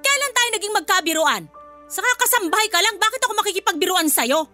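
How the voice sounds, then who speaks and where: A woman's voice: extremely high pitch, lively intonation, fast talker, sharp tone, heavily acted. An actress playing a bossy, hot-tempered, spiteful woman of the household in a Filipino radio drama, scolding and haughty with a Filipino accent. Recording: background music under voice.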